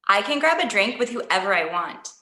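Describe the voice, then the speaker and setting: A woman's voice: medium pitch, sing-song, normal speed, sharp tone, roomy sound. An actress at a table read playing an exasperated, defensive woman artist. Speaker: actor playing Emily. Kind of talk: table read of a comedy pilot.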